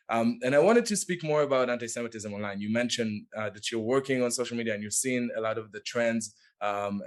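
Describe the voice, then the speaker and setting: A man hosting an interview, asking questions hesitantly with uhs and restarts. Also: on the low side. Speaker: host.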